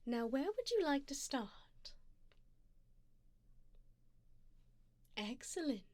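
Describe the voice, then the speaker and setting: A woman voicing a wealthy, possessive vampire sugar mommy in an ASMR roleplay, soft and breathy with whispers, refined, coaxing and gently commanding, close on a binaural mic. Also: high voice, sing-song, moderate pace, no echo. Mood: coaxing, pleased. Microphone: binaural 3Dio microphone, close up.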